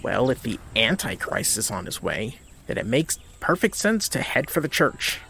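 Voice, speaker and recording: A man's voice: mid-range voice, big pitch swings, normal speed, vocal fry, bright, forceful tone, reverberant, a little hiss or hum, heavily acted. An actor playing a skeptical, stubborn townsman with a dismissive, sardonic delivery in an audio drama. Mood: incredulous.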